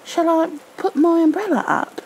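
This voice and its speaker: A woman voicing Christopher Robin, a gentle, obliging young storybook boy who is curious and simple in manner. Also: very high-pitched, moderate pace, slight background noise, voice pushed up, low-quality recording. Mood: helpful.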